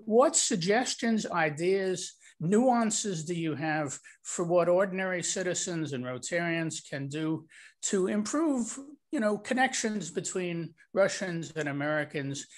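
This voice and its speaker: Man, slightly high voice, friendly and rambling; meeting attendee on a video call. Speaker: club member asking a question. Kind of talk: conversational question on a video call.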